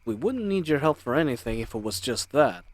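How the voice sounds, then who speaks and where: A man's voice: mid-range voice, big pitch swings, fairly quick, no echo. A man playing a charming, cocky bandit crew leader in an audio roleplay, with a teasing, swaggering, conversational manner. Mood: amused, conspiratorial. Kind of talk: dramatic audio roleplay acting.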